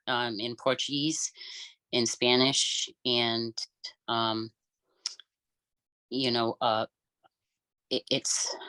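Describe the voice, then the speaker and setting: A woman whose first language is Cape Verdean Creole, sharing personal experiences in a relaxed podcast chat and pausing often to think. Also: a bass voice, no echo, poor audio. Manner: thoughtful, pauses often with "um". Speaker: podcast co-host. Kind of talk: casual personal conversation.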